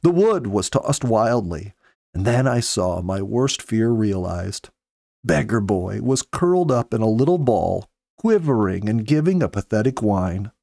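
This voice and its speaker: A man in his fifties reading a story aloud with earnest, measured, dramatic phrasing, close to a studio microphone. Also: lowish voice, big pitch swings, gravelly, warm tone, dry recording. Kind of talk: expressive audiobook-style story narration. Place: small home study, indoors. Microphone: close studio mic with pop filter.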